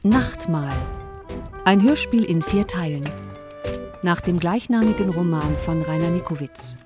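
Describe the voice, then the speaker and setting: A female announcer reads radio drama titles. Her voice is rather low and her pace is very measured. Music plays prominently behind her. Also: animated. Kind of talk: scripted credits announcement.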